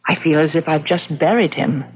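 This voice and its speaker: An actress playing a wry, forgiving, reflective woman in a 1970s radio drama, speaking deliberately. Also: low voice, husky. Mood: warm, nostalgic. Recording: dull, lo-fi old radio recording.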